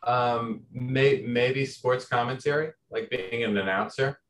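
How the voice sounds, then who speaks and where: A man's voice: mid-range voice, slow pace, fairly bright tone, slight background noise, rough recording. A young man from Southern Indiana, a composer guest in a casual interview, talking hesitantly with many restarts and fillers.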